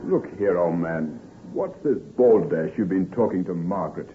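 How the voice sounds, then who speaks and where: A man acting as a loyal friend who is concerned and exasperated. His fairly low voice is brisk and blunt, remonstrating in old-time radio manner. The recording is narrow and muffled, like a vintage broadcast. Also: music in the background, natural voice.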